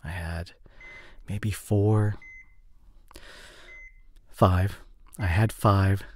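A young man playing a remorseful drinking boyfriend in an intimate audio roleplay, speaking in a halting, apologetic, soft and pleading way. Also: low-pitched, fairly even intonation, a little hiss or hum. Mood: contrite, confessing.

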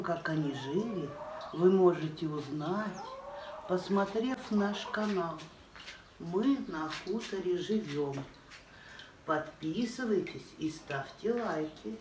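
An older woman telling a rhyming folk tale in a slow, sing-song way from her kitchen. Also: lowish voice, darkish tone. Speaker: storyteller, the uploader's aunt. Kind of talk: folk-tale storytelling in rhyme. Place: indoor kitchen, seen through a window. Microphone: far camera. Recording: roomy, distant, noisy camera audio.

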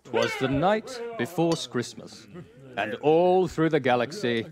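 A man's voice: mid-range voice, animated, a little slow, a crowd in the background, lightly acted. A man playing a wry narrator, reading scripted comic storybook narration for a space radio play. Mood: wry, narrating.

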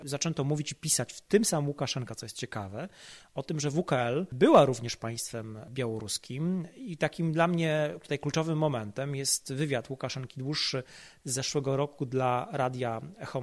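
A man speaking as an expert guest in an analytical podcast discussion, measured and explanatory, stressing key words. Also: a little high.

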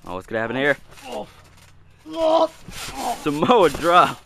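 Young man, amateur wrestling commentator, unhurried short calls, swinging pitch, noisy outdoor handheld camera audio. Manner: short clipped calls, excited outbursts at big moves. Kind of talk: live play-by-play commentary. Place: outdoors in a backyard beside a trampoline. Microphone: handheld camera mic.

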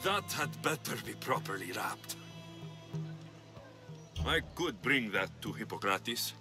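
Confident, animated Greek mercenary. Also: male voice, mid-range voice, takes it slowly. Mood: wry, helpful. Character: confident, wry male ancient Greek mercenary adventurer. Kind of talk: video game dialogue acting. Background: music.

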